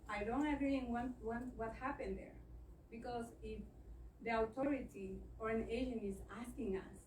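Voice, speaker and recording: A middle-aged woman gives an earnest, plain-spoken talk at a meeting. Her voice sits a little high, and it reaches the mic from some distance across an echoey room with faint noise behind. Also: moderate pace, a little mellow. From Ecuador. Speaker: guest speaker. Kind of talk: public speech at a meeting.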